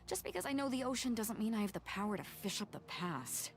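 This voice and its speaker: A woman's voice: mid-range voice, sing-song, a little fast, a little hiss or hum, close to an everyday voice. A woman playing a bold, commanding sea captain in a video game, with a breezy, wry manner. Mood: exasperated.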